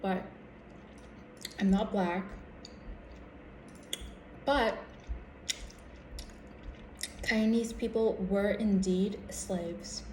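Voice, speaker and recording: An American woman chatting casually to camera as a vlogger, in a halting, reflective way that trails off mid-thought. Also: mid-range voice, steady tone, darkish tone. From United States. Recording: roomy echo with background noise.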